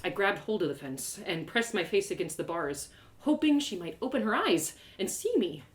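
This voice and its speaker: A woman reading her fantasy story aloud in a theatrical, expressive first-person narration. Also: mid-range voice, normal speed. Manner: theatrical, animated delivery.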